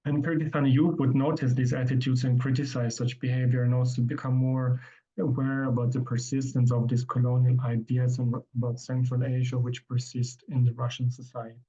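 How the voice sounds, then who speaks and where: A man presenting his research at an academic conference, speaking in a measured way with frequent ums and restarts. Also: mid-range voice, little pitch movement, dark tone, husky, dull audio.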